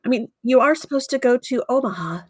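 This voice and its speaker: A woman plays a good witch in a tabletop game. She is brisk and bossy yet caring, with a fairly high voice and a dry, echo-free sound. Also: expressive, slightly rough. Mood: bossy, exasperated. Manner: brisk, scolding, reassuring.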